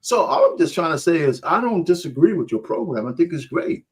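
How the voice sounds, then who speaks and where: Animated, argumentative man in a debate. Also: fairly high pitch, a little fast, husky. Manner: emphatic, argumentative, repeats himself. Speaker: panel guest. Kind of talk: heated debate.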